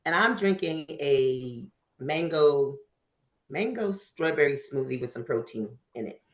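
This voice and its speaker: A woman's voice: low voice, animated, measured pace, echoey room. A woman in her fifties hosting a casual group chat, chatty and laughing often. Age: 50s. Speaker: host.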